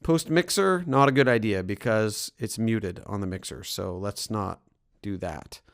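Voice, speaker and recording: A man presenting a software tutorial as a music production trainer, explaining things in an informal way. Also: fairly low pitch, unhurried.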